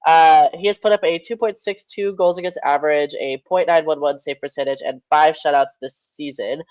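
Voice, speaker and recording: A man's voice: fairly high pitch, fairly even intonation, moderate pace, a little mellow, no room sound, muffled recording. A young man hosting a casual podcast, stuffed-up and nasal, rambling through updates and stats with plenty of fillers. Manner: rambling, self-correcting with fillers. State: congested, nasal. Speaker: podcast host.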